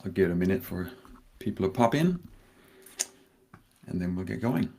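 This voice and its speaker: A man from Denmark speaks in a slightly low voice, giving a live talk over a video call. He tells a personal story carefully, with pauses and a hint of nerves. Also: expressive, creaky, mellow, slight background noise. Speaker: conference speaker. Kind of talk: live online conference talk.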